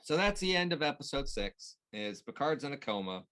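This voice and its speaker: A man in casual conversation as a co-host, recapping a story in a halting way and searching for words. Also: mid-range voice, very animated, pushed and bright. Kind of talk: casual chat recapping a TV show.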